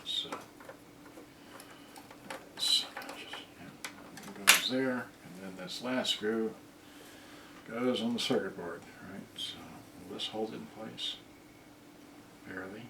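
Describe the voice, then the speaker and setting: A man talking to himself in halting, casual mutters as he gives a hands-on repair commentary. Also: fairly low pitch. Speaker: repair video host. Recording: distant roomy mic, loud noise, clicks and clatter.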